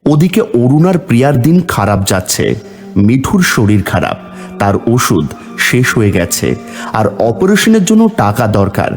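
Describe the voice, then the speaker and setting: Lively male storyteller over music. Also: medium pitch, moderate pace. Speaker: story narrator. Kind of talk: scripted narration of a moral folk tale.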